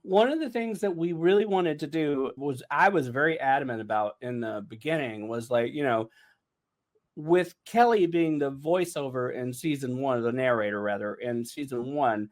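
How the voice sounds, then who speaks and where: A man talking informally and casually as a podcast host about making an audio drama. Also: mid-range voice. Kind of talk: casual behind-the-scenes podcast chat.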